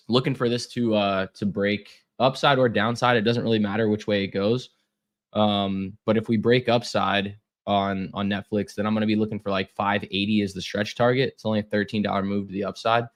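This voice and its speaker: A young man losing his voice, running a casual live trading stream with lots of fillers and repeated words. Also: fairly low pitch.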